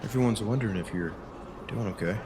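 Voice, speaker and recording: A man's voice: lowish voice, fast talker, noisy background, lightly acted. An actor playing a mild-mannered, worried man in his thirties, speaking in a hesitant, conversational way for a comic dub. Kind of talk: comic dub acting, naturalistic. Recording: music behind the voice.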